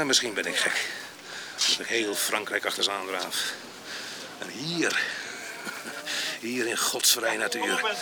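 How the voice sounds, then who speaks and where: An actor playing a rough, embittered ex-convict bent on revenge, brooding and dramatic in a radio drama. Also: male voice, mid-range voice. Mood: brooding, grim.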